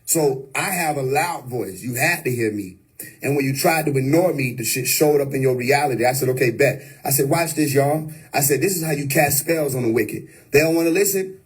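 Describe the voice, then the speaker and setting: Man, husky voice, preachy streamer monologue, emphatic. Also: medium pitch, brisk. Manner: preachy, emphatic, repeats "you know what I mean". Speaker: livestream host. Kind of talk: unscripted livestream monologue. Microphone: phone or webcam on a live stream.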